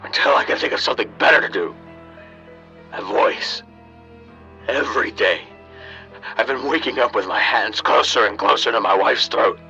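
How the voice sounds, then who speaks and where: A man's voice: fairly low pitch, normal speed, creaky, pauses often, pushed and bright, muffled recording, faint music behind, heavily acted. A man playing a desperate, unhinged husband recording a taped diary in a radio drama, stammering and panicky. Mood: bitter, rambling.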